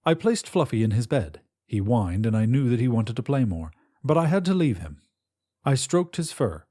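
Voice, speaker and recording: A man narrates a short story in the first person as an audiobook reader. His voice is mellow and mid-pitched, with plenty of movement in the intonation. The delivery is measured and precise, with a gentle storytelling touch.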